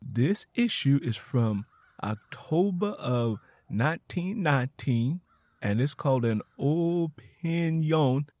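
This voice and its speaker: A man voicing an eager, excitable young woman, earnest and folksy, in a narrated story. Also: fairly high pitch, dry recording, studio quality.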